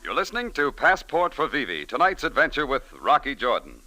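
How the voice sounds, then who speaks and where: A man's voice: mid-range voice, wildly swooping pitch, fairly quick, over loud music. A man with an American accent announcing a mystery programme in polished 1940s radio style.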